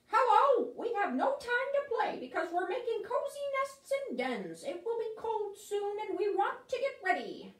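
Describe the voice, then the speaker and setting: Woman doing little forest animals, squeaky, hurried, cheerful, roomy. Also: very animated. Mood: busy. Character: busy little forest animals making nests. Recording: roomy, distant mic.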